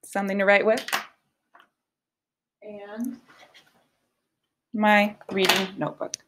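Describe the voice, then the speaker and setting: A woman teacher giving a children's read-aloud lesson, explaining her thinking in an expressive, conversational way. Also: medium pitch, slow pace, noisy background. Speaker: elementary teacher.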